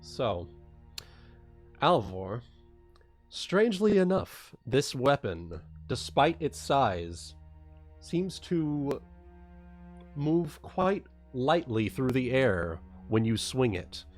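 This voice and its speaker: A man narrating and explaining a tabletop game as game master, in a halting way with repeated phrases. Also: medium pitch, big pitch swings, faint music behind.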